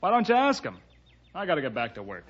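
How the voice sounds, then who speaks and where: An actor playing a defensive working-class builder with folksy, ungrammatical, wary speech in a radio western. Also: male voice, a little high, wildly swooping pitch, fast talker, fairly bright tone, breathy edge, heavily acted. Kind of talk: old-time radio western acting. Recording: dull vintage radio recording.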